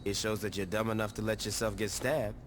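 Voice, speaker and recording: An actor playing a tough, cynical gang boss in a video game, brusque, distrustful and heavy on sarcasm. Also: male voice, lowish voice, little pitch movement, a little fast, lightly acted. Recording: game audio over vehicle and ambient noise.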